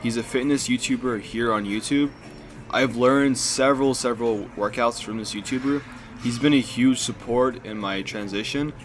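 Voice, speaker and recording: A man's voice: medium pitch, normal speed, slight background noise. Young man narrating his school video. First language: Hungarian. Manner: relaxed, conversational, with filler words. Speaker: student presenting a school video project.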